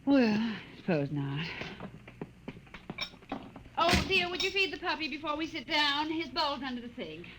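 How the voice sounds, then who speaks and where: An actress with an American accent playing an anxious, gentle mother in her thirties in a 1950s radio drama, hesitant and fretful. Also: high-pitched, sing-song, a little slow, long pauses. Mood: mild, domestic. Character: anxious, gentle mother and housewife of a young child. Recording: noisy vintage 1950s radio recording.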